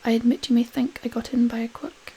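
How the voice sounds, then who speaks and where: A woman voicing a sly devil posing as a polite gentleman minister in a poem, softly and whispery. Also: fairly high pitch, little pitch movement, brisk, slightly rough. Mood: boastful, sly. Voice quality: soft, whispery. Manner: polite, pleasant, insinuating. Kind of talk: storybook-style dialect poem reading.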